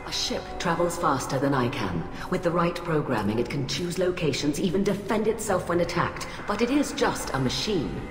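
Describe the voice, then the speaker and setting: An actress playing a cold, haughty alien admiral in a video game, speaking in a clipped, formal, condescending way. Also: female voice, low-pitched, animated, normal speed, hardly any pauses. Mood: haughty conviction. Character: cold, haughty alien admiral, female, middle-aged scientist, ruthlessly rational. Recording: echoey hall reverb, music behind.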